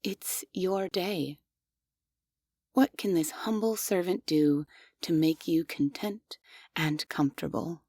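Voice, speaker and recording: A woman voicing a devoted, obsessive female android maid in an audio roleplay, speaking softly and formally with quietly unsettling questions. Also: lowish voice, slow, no echo, clean studio sound. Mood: gentle, doting. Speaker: voice actress.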